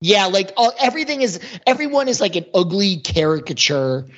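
A man's voice: high voice, fairly quick, crowd noise. Wry man on a dull lo-fi mic. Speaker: podcast co-host. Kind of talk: casual conversational storytelling.

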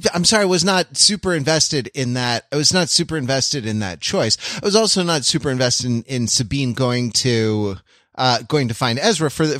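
A man co-hosting a casual pop-culture discussion in a halting, wry way. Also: mid-range voice, fairly bright tone, dry recording, clean studio sound. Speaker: podcast co-host.

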